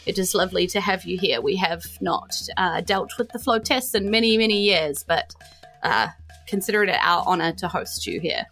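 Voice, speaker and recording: A woman voicing a courteous, evasive male noble in a tabletop game, speaking formally and haltingly. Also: on the low side, fairly bright tone, soft background music.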